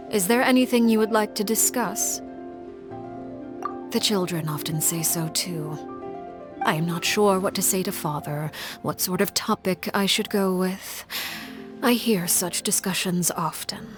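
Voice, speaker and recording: Actress as a composed, formal game leader, measured and precise, mid-pitched, light music under. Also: female voice, close to an everyday voice. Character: poised, calculating adult woman leader, composed and formal. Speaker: video game character. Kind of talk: scripted game voice lines.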